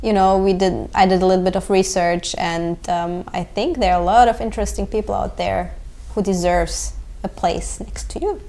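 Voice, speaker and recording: Woman with a halting delivery. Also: mid-range voice, steady tone, mellow. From Germany. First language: German. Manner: friendly, halting, many fillers. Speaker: podcast producer.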